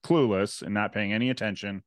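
A man's voice: lowish voice, steady tone, fairly quick, no room sound. Easygoing male podcast host, dry sound. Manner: easygoing, hesitant questions with restarts. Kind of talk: casual podcast interview.

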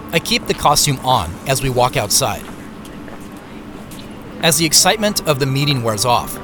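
An actor narrating an audio drama in the first person as a reflective man recounting his day in a measured, scripted way. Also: mid-range voice, lightly acted.

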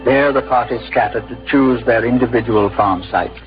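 Male 1950s radio drama narrator: solemn, measured delivery, muffled broadcast sound, heavy music bed. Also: mid-range voice, close to an everyday voice. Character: solemn storyteller of a pioneer tale.

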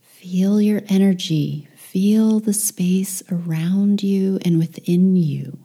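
Very soft, slow woman guiding meditation. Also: medium pitch. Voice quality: soft, breathy, often near whisper. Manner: gentle, soothing, unhurried with long pauses. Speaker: meditation guide. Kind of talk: guided meditation.

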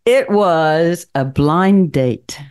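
A woman's voice: lowish voice, extremely slow, a little mellow, smooth. Woman in her sixties, chatty, storytelling guest, laughs often. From Kentucky, Appalachian Mountains. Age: 60s. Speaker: guest, city council member. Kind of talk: casual chat.

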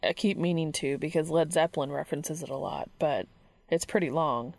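A woman's voice: deep voice, brisk, a little mellow, dry recording, voice pushed down. A woman voicing a laconic, reserved teenage boy in an audiobook, casual and understated. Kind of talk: audiobook character dialogue.

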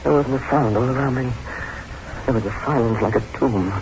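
An actor playing a fearful, trapped older husband in a theatrical, halting 1960s radio horror style. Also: male voice, mid-range voice, close to an everyday voice. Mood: haunted dread. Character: trapped, panicking older husband narrating his ordeal. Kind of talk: 1960s radio horror acting, first-person narration. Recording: very noisy old 1960s radio recording.

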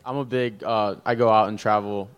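Young male player, low and monotone, clean steady delivery. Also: moderate pace, clear, resonant tone, muffled recording. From born in Peru, Venezuelan family. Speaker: baseball player panelist.

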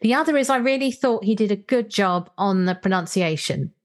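A woman's voice: medium pitch, brisk. A woman hosting a podcast, chatting and explaining as she discusses books, thinking aloud as she goes. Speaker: podcast host. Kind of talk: conversational book review podcast.

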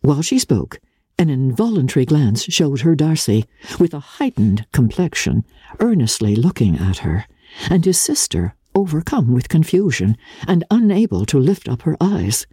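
A woman with a husky voice giving a measured, formal and expressive dramatic reading of classic literature as an audiobook narrator. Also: low-pitched, no room sound, studio quality.

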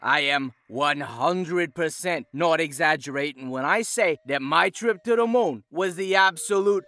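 A man's voice: fairly high pitch, bright, forceful tone. A man playing an indignant, petty tourist dad delivering a scathing, whiny comic review in an audio drama, with emphatic exasperation. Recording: music playing under the voice. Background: music.

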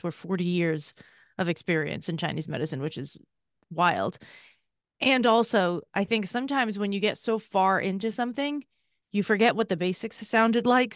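A woman's voice: mid-range voice, clean tone, no room sound, clean studio sound. A woman in her forties, a podcast co-host and Chinese medicine practitioner, explaining in an enthusiastic, chatty way. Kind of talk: conversational explanation.

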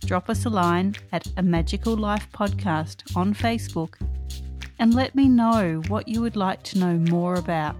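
A woman's voice: mid-range voice, unhurried, a little mellow. A woman hosting a podcast, reading her intro in an upbeat, announcer-like way and then interviewing a guest. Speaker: podcast host. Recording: dry with faint music behind.

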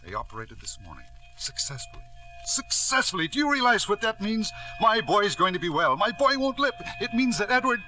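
An actor playing a scheming, reckless businessman and devoted father in 1950s radio drama, with a smooth, theatrical delivery. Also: high-pitched, sing-song, a little fast, bright, forceful tone, slight background noise, full character voice, poor audio. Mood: elated.

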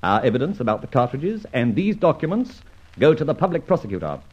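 An actor playing a suave, sardonic gentleman thief who speaks with theatrical command in an old radio drama. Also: mid-range voice, sing-song, brisk, husky. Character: suave gentleman thief, adult man, mocking. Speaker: lead character. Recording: dull old radio recording.

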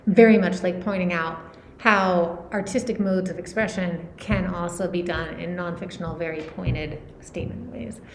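A woman's voice: on the low side, a little hiss or hum. A woman co-hosting a podcast, asking chatty, filler-heavy interview questions. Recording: roomy echo.

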